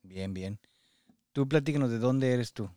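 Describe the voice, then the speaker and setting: A man's voice: medium pitch, a little slow, warm tone, clean tone, no echo, studio quality. A man hosting a podcast, introducing and interviewing. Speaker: podcast host.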